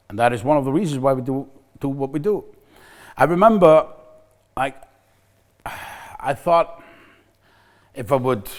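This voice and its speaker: A middle-aged man with an Icelandic accent talks straight to the camera for a recovery center. His voice sits at an ordinary middle pitch. He sounds candid and reflective, circling back and restarting his sentences as he searches for words. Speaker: recovery center staff member. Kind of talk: personal talk to camera.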